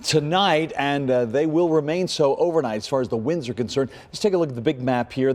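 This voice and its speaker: A man delivers a conversational TV weather forecast. His pitch is average for a man, and the sound carries a long, reverberant tail. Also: darkish tone.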